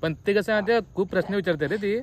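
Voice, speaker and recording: A young man chatting casually as a vlogger, teasing and often halting or repeating himself, with some noise around him. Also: high voice, crowd noise. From Maharashtra, India. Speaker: vlogger making a prank call. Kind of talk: casual chat, playful vlog.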